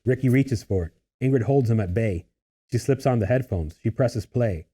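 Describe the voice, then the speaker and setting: A man's voice: medium pitch, animated, moderate pace, warm tone, husky. A man narrating a screenplay, reading out scene headings and stage directions as scripted narration.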